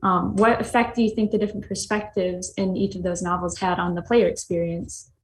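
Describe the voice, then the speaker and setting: A woman's voice: on the low side, little pitch movement, fairly quick. Woman interviewer in an echoey room. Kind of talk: casual panel interview questions. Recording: echoey room with faint background noise.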